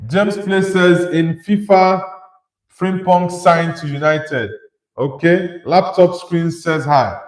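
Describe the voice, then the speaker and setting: A man's voice: high-pitched, slow pace, reverberant, poor audio. Nigerian-born man hosting a football livestream. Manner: conversational, reads out chat messages and replies with opinions. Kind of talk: casual live chat reading viewer comments.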